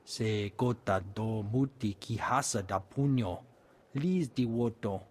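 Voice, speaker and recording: An actor voicing a male alien with a husky voice, speaking an invented language in a video game. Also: on the low side, measured pace. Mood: businesslike.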